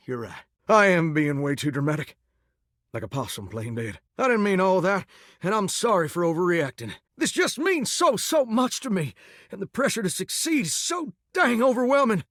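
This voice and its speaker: A flamboyant male shower spirit from a video game, who croons and drawls with country flair. His voice sits high and leaps across a wide, lively range. It sounds close and dry, with no room around it. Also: no echo. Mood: apologetic, eager. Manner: folksy, theatrical, crooning drawl. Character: vain, melodramatic male shower spirit, aspiring singer. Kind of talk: video game character dialogue.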